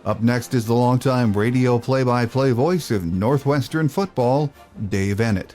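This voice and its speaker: A man hosting a sports podcast, reading a steady, measured script. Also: medium pitch, faint music behind. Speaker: podcast host.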